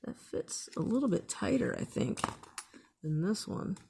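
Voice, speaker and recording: Woman, DIY host, gravelly creak, unhurried pace, casual and unscripted. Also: medium pitch, very animated, warm tone, a little hiss or hum. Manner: unscripted, thinking aloud while working. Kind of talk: casual hands-on repair tutorial.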